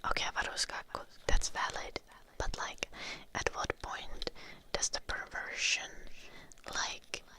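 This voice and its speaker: A woman from Finland hosting a live ASMR stream, whispering softly to her chat in English with a light Finnish tinge, close to the microphone. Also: slow. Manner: soft whisper, reading chat names. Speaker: ASMR livestream host.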